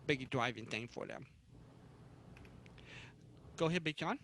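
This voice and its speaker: A man moderating a casual panel chat, halting and repeating words as he talks. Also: mid-range voice, animated, a bit bright, a little hiss or hum.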